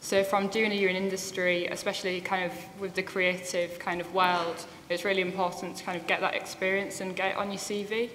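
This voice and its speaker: A woman's voice: on the low side, flat delivery, a little fast, few pauses, fairly bright tone. A young woman student on a panel, talking casually and saying "kind of" a lot. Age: young adult. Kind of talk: live panel discussion. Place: conference room. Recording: echoey hall with faint noise.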